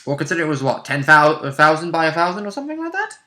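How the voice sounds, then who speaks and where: A man's voice: a little high, wildly swooping pitch, fast, pushed and bright. A man chatting casually as a tabletop player, in a jokey, sweary way. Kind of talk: casual chat. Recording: echoey untreated room.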